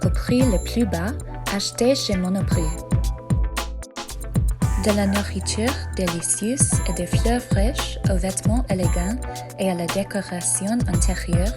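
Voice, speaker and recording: A woman's voice: medium pitch, unhurried, natural voice. Brief: woman doing an emphatic ad announcer over blaring music. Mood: selling. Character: female commercial and show-intro announcer. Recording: very loud music behind.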